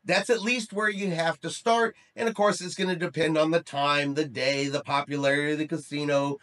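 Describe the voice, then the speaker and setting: A middle-aged man with a notably high-pitched voice hosts a news update. He talks straight to the viewer in a conversational style and leans firmly on his key words. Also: steady tone, fairly quick, a bit bright. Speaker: host of a cruise news channel. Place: small room indoors.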